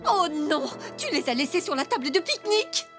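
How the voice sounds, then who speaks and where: A woman voicing a doting, sensible mother in a French animated cartoon, expressive and affectionate. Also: high-pitched, fairly quick. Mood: worried. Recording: cartoon music behind.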